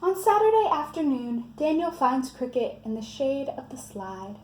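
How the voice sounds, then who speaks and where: A woman with a mellow, fairly high voice presents a children's book in a scripted read-aloud style. The room adds noticeable echo, with faint noise underneath. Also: sing-song, moderate pace.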